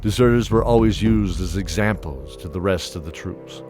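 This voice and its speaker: Low-voiced man narrating a fantasy drama. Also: moderate pace, music in the background.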